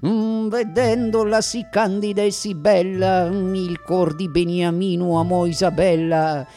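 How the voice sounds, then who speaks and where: Grandiose absurd vaudeville comic, highish voice, declaiming like on stage, bit of music behind. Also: male voice, fairly even intonation, dark tone. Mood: singsong, mock-solemn. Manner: declamatory, grandiose, mock-solemn, playing with drawn-out and rattled phrases. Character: bombastic, boastful, absurdist Italian variety-theatre comedian of the early 1900s. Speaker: stage actor. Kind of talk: theatrical comic monologue for radio drama.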